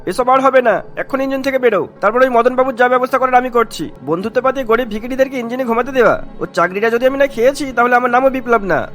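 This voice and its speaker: Cartoon role: threatening railway boss, raised pitch, very quick delivery, sneering and irate. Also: male voice, a bit bright, faint music behind. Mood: angry, sneering. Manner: scolding, threatening, sarcastic. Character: irate, bossy station master, sneering at beggars. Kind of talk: Bengali cartoon voice acting.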